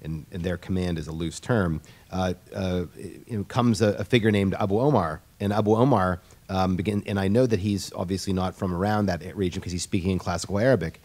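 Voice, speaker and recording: A man with a notably low voice answers a question on a discussion panel. He talks in an explanatory way, stopping and restarting his sentences often as he works through his points. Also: a little mellow. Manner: halting, many restarts, explanatory.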